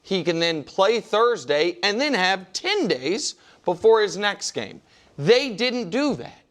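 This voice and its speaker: Male sports pundit, fairly high voice with lively swings, argumentative unscripted debate. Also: slow pace. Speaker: sports panelist.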